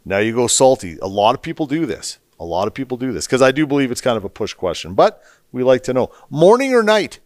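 A man hosting a casual interview, chatty and folksy, firing off quick questions and encouraging replies. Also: mid-range voice, sing-song, darkish tone, no room sound. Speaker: podcast host.

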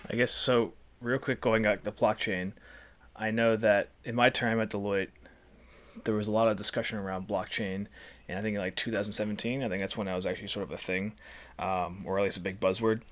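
Guy hosting a chill interview, lowish voice, friendly follow-up questions. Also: steady tone, fairly quick, fairly bright tone. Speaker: podcast host. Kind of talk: casual interview.

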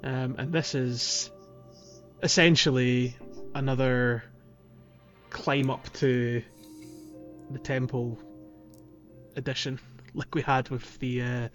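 A man's voice: mid-range voice, steady tone, slow, long pauses, fairly bright tone. Man with slightly dull audio over music. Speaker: podcast co-host. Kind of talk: casual chat, guiding a game playthrough. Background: background music.

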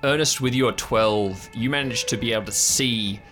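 A man's voice: medium pitch, normal speed, fairly bright tone. A man narrating an improvised tabletop audio drama as game master. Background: music.

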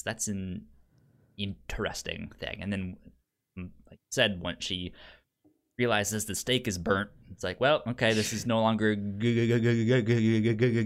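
Male co-host, slightly low pitch, stutters on syllables, conversational, thinks aloud haltingly. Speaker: podcast co-host discussing a film. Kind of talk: casual conversational film discussion.

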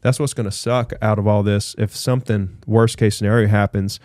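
A man who works as a real estate agent, talking in an animated, chatty panel debate with lots of fillers. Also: lowish voice, a little fast, dry recording, clean studio sound.

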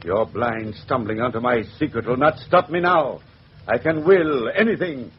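An actor playing a powerful, centuries-old hypnotist villain in old radio horror style, commanding and melodramatic. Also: male voice, medium pitch, moderate pace, close to an everyday voice. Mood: menacing, commanding. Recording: noisy, dull old radio recording.

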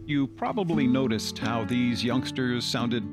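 A man's voice: mid-range voice, lively intonation, unhurried. An older man hosting a podcast, reading scripted narration. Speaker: podcast host. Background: guitar music.

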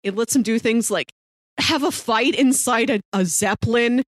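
Higher-pitched woman podcaster, close dry sound. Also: normal speed, no room sound. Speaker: podcast co-host discussing a TV series. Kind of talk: casual chat.

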